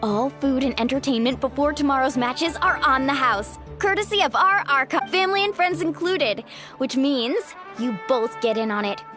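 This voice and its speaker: A woman's voice: high-pitched, moderate pace, natural voice. A woman voicing a confident, encouraging young warrior leader in a video game. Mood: upbeat. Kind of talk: video game character acting. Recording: music behind the voice.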